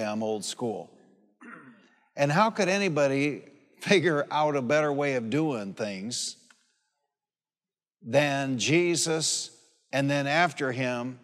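A man in his late sixties delivers a sermon as a pastor. His voice sits at a medium pitch and his manner is folksy and emphatic, with repeated phrases. The long reverb of a large hall surrounds his words. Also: fairly bright tone. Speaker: pastor preaching. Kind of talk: sermon with personal anecdotes and calls for amen. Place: large hall or church, preaching to a congregation.

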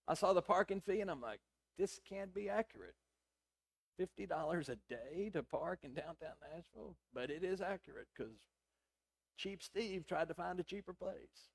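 A middle-aged man preaching. His voice is hoarse and raspy yet sits fairly high. He tells stories in a conversational way, acting out the lines of dialogue. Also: expressive, moderate pace. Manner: conversational storytelling with quoted dialogue. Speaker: pastor. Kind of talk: sermon.

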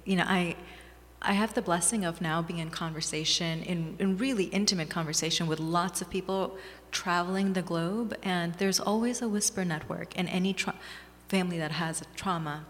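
A woman author from Bangladesh answers interview questions in a measured, earnest, reflective way. Her voice sits on the low side for a woman. A long reverb trails her words around the hall. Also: steady tone. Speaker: author and guest. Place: small community gathering room.

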